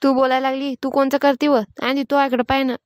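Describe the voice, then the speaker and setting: A quarrelsome village woman of cartoon comedy, acted by a woman, high-pitched and quick. She speaks rural Varhadi Marathi with a blunt, exasperated, complaining manner, recorded close and dry. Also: level tone, dry recording, lightly acted. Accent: rural Marathi, Varhadi dialect. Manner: scolding, complaining. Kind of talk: animated family comedy dialogue.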